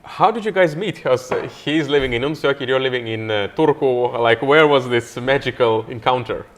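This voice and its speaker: A man's voice: medium pitch, moderate pace, a little hiss or hum. Enthusiastic male podcast host. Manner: friendly, enthusiastic, frequent fillers. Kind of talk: live-streamed podcast interview. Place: outdoors by a river in Lapland.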